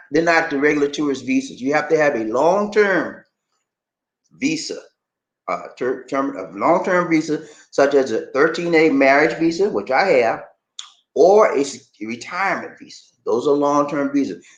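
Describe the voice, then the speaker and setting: A man's voice: on the high side, expressive, normal speed, muffled recording. A man hosting a live stream, reading out viewer comments and chatting loosely and directly to his audience. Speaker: channel host reading viewer chat. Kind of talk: casual live-stream chat. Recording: echoey room.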